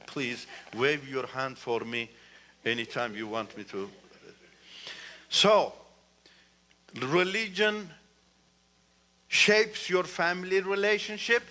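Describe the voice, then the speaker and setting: Man, guest church speaker, earnest, emphatic, bright tone. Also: a little high. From Iran. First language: Turkish. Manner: earnest, repeats "you know", rises emphatically. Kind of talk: testimony talk in church.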